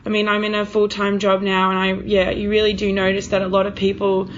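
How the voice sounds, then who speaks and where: A young woman conducts a casual interview. Her voice sits at an average pitch, and she speaks informally, affirming what she hears. The recording is a little dull and lo-fi. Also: monotone, a little fast, slight background noise. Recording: dull, lo-fi audio.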